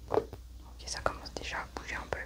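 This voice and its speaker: A woman whispering an ASMR medical roleplay, murmuring slow step-by-step commentary on what she is doing. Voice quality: whispered, unvoiced. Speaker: ASMR roleplayer acting as an alien autopsy examiner.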